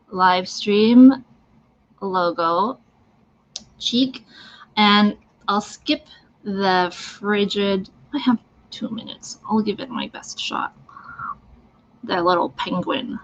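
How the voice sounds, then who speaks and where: Slow-paced woman on a dull stream. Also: medium pitch, a bit bright. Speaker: mime makeup demonstrator. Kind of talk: casual live tutorial. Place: live online stream from a socially distanced setting. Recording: dull, lo-fi livestream audio.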